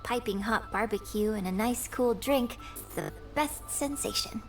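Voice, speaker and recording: A woman playing a friendly young female singer in a video game, speaking in a gentle, chatty, politely playful way. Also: fairly high pitch, distant mic. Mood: cheerful, content. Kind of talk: video game character dialogue. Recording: game audio with music behind.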